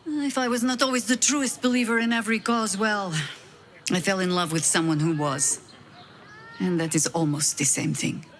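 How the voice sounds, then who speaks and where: A woman's voice: medium pitch, steady tone, a little hiss or hum, natural voice. Woman acting a wry, weary veteran adventurer woman. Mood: reflective, candid. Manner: wry, measured, self-deprecating. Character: weary, wry veteran high-ranking woman harper agent. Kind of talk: video game dialogue acting, reflective storytelling.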